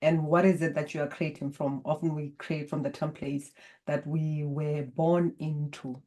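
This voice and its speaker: Botswana-accented woman, native Setswana speaker, guest healer; low, warm, calm, measured delivery. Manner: calm, measured, spiritual guidance tone. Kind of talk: interview conversation.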